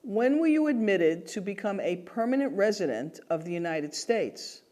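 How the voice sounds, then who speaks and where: Woman reading formal interview questions, clear and measured, lively pitch. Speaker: role-played citizenship interviewer.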